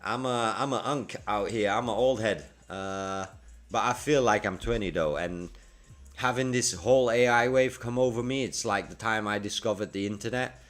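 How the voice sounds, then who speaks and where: A man's voice: fairly low pitch, normal speed, a bit bright. A man in his forties talking casually to livestream viewers in a laid-back, slangy way. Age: 40s. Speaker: livestreamer and freelance web developer. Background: music playing.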